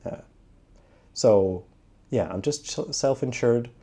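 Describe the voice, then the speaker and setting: Mellow-voiced young Swedish man vlogging. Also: medium pitch, slow pace, breathy edge. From Sweden. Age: young adult. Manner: relaxed, chatty, frequent "uh" fillers. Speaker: travel vlogger. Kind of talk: casual vlog talk to camera.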